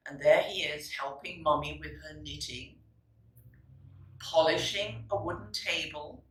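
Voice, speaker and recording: A woman teacher reads a children's story expressively, pausing to explain and address young listeners in a deliberate, instructive way. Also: low voice. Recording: roomy echo, distant microphone.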